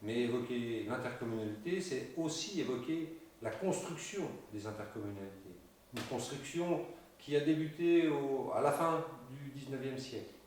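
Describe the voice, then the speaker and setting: A man recorded from a distance in a very reverberant room. He presents a local campaign programme in a measured, didactic manner, with formal wording and a mid-pitched voice. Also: lively intonation, a little mellow, slight background noise. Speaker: local election candidate presenting the list's programme. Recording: very echoey room, distant microphone.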